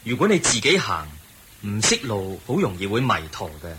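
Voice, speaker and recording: A calm, kindly monk teacher in a Hong Kong Cantonese radio drama. His low voice is bright and expressive, explaining patiently over clear recording noise. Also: moderate pace. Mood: kindly, guiding.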